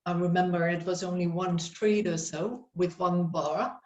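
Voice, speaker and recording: Slow Dutch-accented woman on a dull, roomy call. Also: on the low side, steady tone, a little mellow. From Netherlands. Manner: unhurried, searching for words, friendly. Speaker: presenter, psychotherapist. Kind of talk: webinar presentation. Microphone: video call. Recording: roomy, dull webinar audio.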